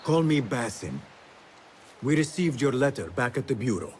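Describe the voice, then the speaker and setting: An actor playing an earnest, loyal young male assassin in a video game, speaking in a measured way. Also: medium pitch, very animated, natural voice. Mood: curious, urgent. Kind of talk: video game cutscene acting.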